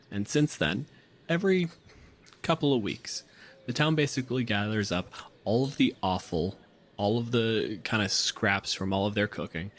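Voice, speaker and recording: A man hosting as game master, telling the story conversationally with dramatic emphasis as he improvises. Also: lowish voice. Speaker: game master and show host. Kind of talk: improvised tabletop game narration.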